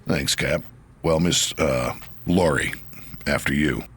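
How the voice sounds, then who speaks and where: An actor playing a modest, earnest detective in an audio drama, speaking with some hesitation. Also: male voice, very deep voice, slow, a bit bright, exaggerated voice. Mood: modest, businesslike. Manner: hesitant, restarting. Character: modest, earnest homicide detective turned demon hunter.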